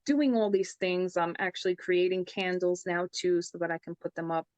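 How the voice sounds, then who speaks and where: A woman's voice: on the low side, fairly even intonation, normal speed, a little mellow, no echo. A young woman from the Bronx with a New York accent, a psychic medium talking earnestly about her life in a casual interview. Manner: earnest, storytelling, says "I says" for past talk.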